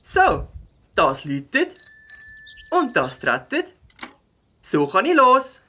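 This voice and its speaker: Woman voicing a lively, plucky little Swiss German beaver. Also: fairly high pitch, slow pace, slight background noise, full character voice. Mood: eager, busy. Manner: expressive, talking to self. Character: plucky little beaver, keen young mountain biker. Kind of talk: children's audio play acting.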